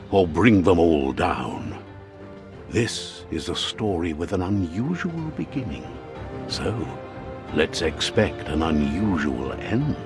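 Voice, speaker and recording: A solemn storyteller narrates a video game fable with theatrical weight. The voice is very low and moves slowly, with wide swings in pitch. It is wry and portentous, measured in every phrase. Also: male voice. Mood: solemn, foreboding. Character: storybook male narrator of a dying animal world, wry, solemn.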